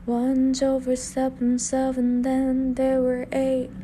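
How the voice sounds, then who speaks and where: A woman reciting an eerie nursery rhyme in a measured singsong, as the prologue of a stage play. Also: high-pitched, monotone, warm tone, a little hiss or hum. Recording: music under the voice.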